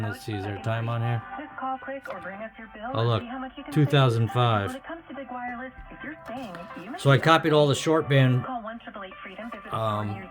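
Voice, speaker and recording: A man giving a casual, unscripted demonstration as a hobbyist, thinking aloud in a halting, uncertain way. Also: mid-range voice. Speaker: hobbyist vlogger. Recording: noisy with clicks and radio. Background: radio noise and clicks.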